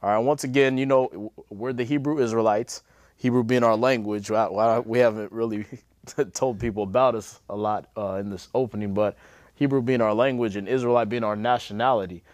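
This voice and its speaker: A man, co-hosting a religious cable show, talking haltingly with many fillers. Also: on the low side, steady tone, a little mellow, dry recording, clean studio sound. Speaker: co-host. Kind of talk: show announcements and agreeing.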